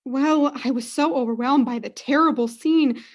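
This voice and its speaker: A woman plays a self-absorbed mother in a high voice. She is theatrical and haughty, milking every phrase for self-pity in an amateur radio comedy. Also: normal speed, lightly acted. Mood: dramatic. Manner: overwrought, theatrical, self-pitying. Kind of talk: student radio play acting.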